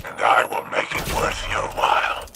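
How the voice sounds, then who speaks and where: A man voicing a sly, grandiose alien crime boss in a video game, gravelly and theatrical, with florid, menacing phrasing. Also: close to an everyday voice. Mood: smooth, scheming. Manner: theatrical, florid, drawn-out phrasing with sinister chuckles. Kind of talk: video game character acting. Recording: loud music under the voice.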